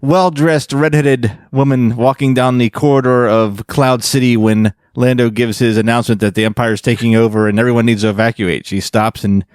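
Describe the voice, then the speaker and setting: A man's voice: medium pitch, fairly even intonation, normal speed, hardly any pauses, a little mellow, no room sound, studio quality. A man co-hosting a podcast, chatting casually with wry, crude humour. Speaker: podcast co-host. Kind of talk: casual joking panel chat.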